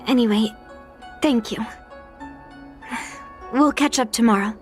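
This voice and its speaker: A woman voicing a stubborn, resolute young swordswoman in a video game, speaking in an earnest, deliberate way. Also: fairly high pitch, soft background music. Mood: grudging, softening. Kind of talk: dramatic video game voice acting.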